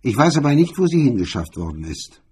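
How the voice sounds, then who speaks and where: A hard, grim gunman from a crime audiobook, voiced by a male reader. He speaks bluntly and cynically in a dark, very husky voice. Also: medium pitch, big pitch swings, brisk, pitched above the performer's own voice. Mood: urgent, blunt.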